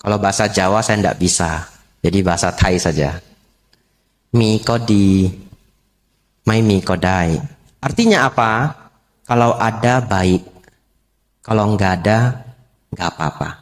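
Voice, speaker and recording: A man preaching as a Buddhist monk, unhurried, repeating key phrases and posing rhetorical questions to lay listeners. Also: on the low side. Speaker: Buddhist monk giving a Dhamma talk. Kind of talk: sermon to a lay congregation.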